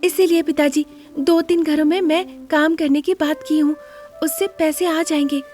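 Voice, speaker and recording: A woman's voice: sits high, steady tone, normal speed, darkish tone, soft background music, pitched above the performer's own voice, close to an everyday voice. A woman voicing a humble, earnest young pregnant daughter-in-law in a moral story, speaking respectfully and pleadingly.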